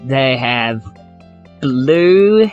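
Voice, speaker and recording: A woman's voice: a bass voice, lively intonation, very slow, muffled recording, soft background music. A woman chatting casually as a player in a tabletop game. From New Zealand. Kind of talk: casual in-character game chat.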